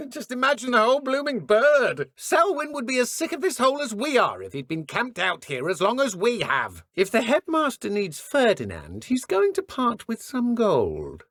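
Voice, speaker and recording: An actress voicing a menacing, greedy dark wizard poacher in a video game, with a British accent and a theatrical, sneering manner. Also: female voice, fairly high pitch, dry recording, clean studio sound, full character voice. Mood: scheming. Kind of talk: video game combat barks and villain dialogue.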